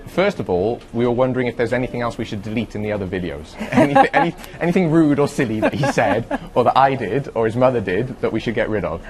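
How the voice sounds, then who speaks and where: A man's voice: medium pitch, lively intonation, fast, hardly any pauses, a little mellow. A man interviewed in a studio as a guest, chatty, a little hesitant and wry. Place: broadcast studio.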